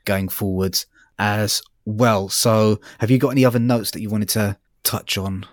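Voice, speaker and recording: A man co-hosting a casual review discussion, halting and apologetic when he gives criticism. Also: on the low side, steady tone, no room sound. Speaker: audiobook review podcast co-host.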